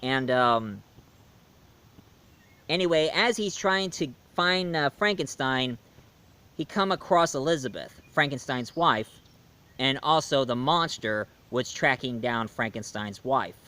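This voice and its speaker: Slow-talking man rambling through a review. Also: mid-range voice, expressive, pushed and bright, clean tone. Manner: rambling, loose, with fillers and self-corrections. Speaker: movie reviewer. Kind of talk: casual spoken movie review.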